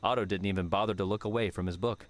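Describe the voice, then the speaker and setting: A man's voice: fairly low pitch, steady tone, fast. A man reading scripted story narration as an audiobook narrator. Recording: music under the voice.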